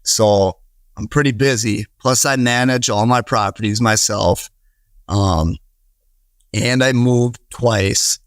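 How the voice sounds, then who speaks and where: A man's voice: lowish voice, takes it slowly, dry recording, studio quality. A man in his thirties with a Wisconsin accent, a guest on a podcast interview, talking earnestly in a rambling way with many restarts and fillers. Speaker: podcast guest, real estate investor. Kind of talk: casual conversational interview.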